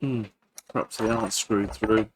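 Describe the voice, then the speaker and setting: A man giving casual hands-on repair commentary as a video host, halting and thinking aloud while he works. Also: lowish voice, fairly even intonation, slight background noise, rough recording.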